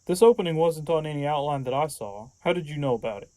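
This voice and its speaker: A man's voice: a little high, animated, fast, warm tone, dry recording, lightly acted, low-quality recording. Guy doing impatient sarcastic younger dude, reluctant apprentice in an audio drama, very dry close sound. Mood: puzzled. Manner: sardonic, blunt. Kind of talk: audio fiction podcast acting.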